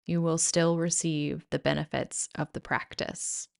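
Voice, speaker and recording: A woman guiding a yoga nidra meditation in a soothing, gentle, measured way with pauses. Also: low voice, little pitch movement, gravelly, clean tone. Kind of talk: guided meditation narration.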